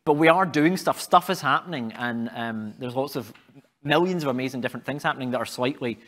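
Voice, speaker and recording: A man in his thirties talks on a live panel stage through a clip-on mic. He rambles in a middle-pitched voice, full of fillers and hesitations, and gestures a lot as he explains. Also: fairly quick. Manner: rambling, many fillers, animated hand gestures. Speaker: panelist, climate scientist. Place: stage at an event hall.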